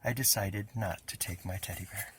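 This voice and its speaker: A man reading a children's story aloud as an expressive narrator. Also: on the low side, normal speed, very noisy. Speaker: storybook reader.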